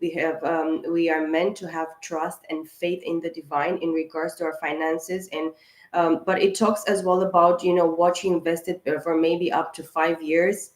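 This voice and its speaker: Low-voiced woman reading tarot in an echoey room. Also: level tone, moderate pace. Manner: rambling, with many fillers and restarts. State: slightly hoarse, clearing her throat. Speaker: tarot reader. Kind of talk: casual spiritual tarot reading to camera.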